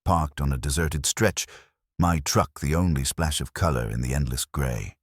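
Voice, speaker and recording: A man's voice: rumbling low, moderate pace, dry recording, studio quality. A man narrating a scripted first-person horror story in a suspenseful, dramatic way.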